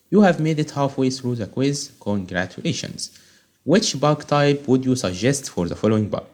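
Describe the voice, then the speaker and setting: A man whose first language is Arabic, tutoring on software testing and walking through a quiz step by step, checking in often with "okay?". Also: mid-range voice. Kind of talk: screen-recorded tutorial walkthrough.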